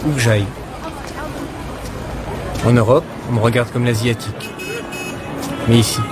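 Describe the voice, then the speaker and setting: A middle-aged man narrating a film in a calm, introspective voice-over. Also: mid-range voice, measured pace, a loud crowd. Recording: voice-over with loud music behind.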